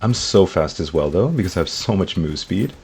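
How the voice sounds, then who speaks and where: A man with a slightly sick, rough throat, giving upbeat casual commentary over his gameplay and talking to his viewers. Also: deep voice, expressive, fairly quick, a little mellow, soft background music. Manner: upbeat, talks to viewers as guys. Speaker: gaming YouTuber.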